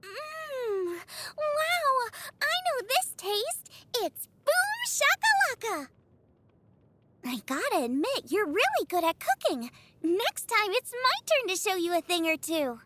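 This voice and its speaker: A woman voicing a bubbly, eager teenage girl chef in scripted video game lines, perky, earnest and expressive. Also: extremely high pitch, slow pace, heavily acted. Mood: delighted.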